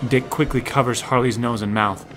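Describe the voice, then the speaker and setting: A man's voice: mid-range voice, steady tone, moderate pace, fairly bright tone. A man narrating a scripted audio drama in the present tense. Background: music.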